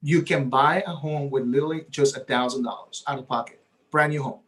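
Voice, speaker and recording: A man whose first language is Spanish, speaking as a guest realtor at a middle pitch. He talks eagerly and at length, checking in with a frequent "right?". The tone is easy and conversational. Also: fairly even intonation, brisk, a bit bright, low-quality recording. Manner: eager and talkative, often adds "right?". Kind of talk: casual interview talk.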